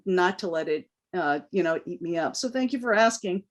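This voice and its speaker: A woman's voice: on the low side, animated, normal speed. A woman from New York whose first language is Cantonese, a guest giving a candid, impassioned interview answer. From New York, United States. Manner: earnest, impassioned.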